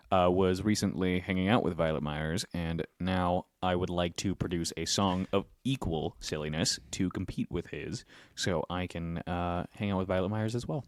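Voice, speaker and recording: Low-voiced joking podcast man. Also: no echo, studio quality. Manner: rambling, joking, many fillers and restarts. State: sniffly from allergies. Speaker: podcast co-host. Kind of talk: casual banter. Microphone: studio mic at a table.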